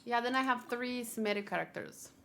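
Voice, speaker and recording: Bright, high-voiced woman streaming games. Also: brisk. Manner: thinks aloud, chatty, expressive. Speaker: video game streamer. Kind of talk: casual livestream gaming chat. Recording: clear background noise.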